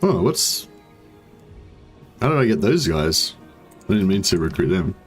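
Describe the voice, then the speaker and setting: A man with a deep voice giving casual, rambling commentary while playing a strategy game. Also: measured pace. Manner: rambling, relaxed, many fillers. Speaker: gaming streamer. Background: faint game music.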